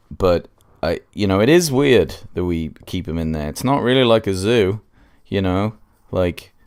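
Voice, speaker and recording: A man's voice: deep voice, darkish tone, smooth. A man hosting a casual solo podcast review, congested with a cold and speaking in an informal, hesitant way.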